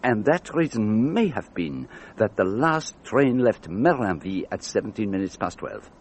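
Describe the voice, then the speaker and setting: Deep, swooping, fussy detective. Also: male voice, sing-song, normal speed, a little hiss or hum. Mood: explaining, deliberate. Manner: precise, theatrical, gently didactic. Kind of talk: radio drama acting.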